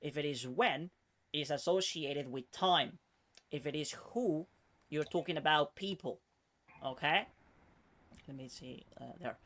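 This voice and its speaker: A man with a fairly high voice gives a one-on-one English lesson. He explains step by step and checks his student's understanding with a steady stream of "okay?" He sometimes slips into Spanish to make a point clear. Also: a little slow, pauses often. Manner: patient, checks in with frequent "okay?". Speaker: English teacher.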